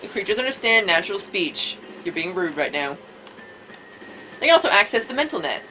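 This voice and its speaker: A woman's voice: mid-range voice, sing-song, a little fast, sharp tone, rough recording. A woman hosting a let's play, reading game dialogue aloud and bantering in a teasing, sarcastic way. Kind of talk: playful reading of visual novel dialogue with casual banter. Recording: background game music.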